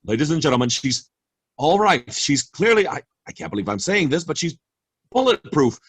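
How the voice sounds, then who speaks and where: An actor in a staged reading playing a TV news anchor with a newscaster cadence who stammers when flustered. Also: male voice, fairly high pitch, very animated, moderate pace, breathy edge, dry recording. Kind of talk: virtual staged play reading.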